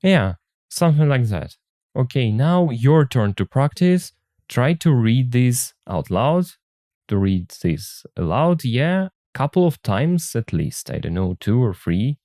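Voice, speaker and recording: A man teaches from a textbook in an informal, instructional way. He speaks slowly with a mellow tone and very varied intonation, adding casual remarks as he goes. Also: medium pitch, sing-song, no echo, studio quality. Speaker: English teacher. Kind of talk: textbook lesson with casual commentary.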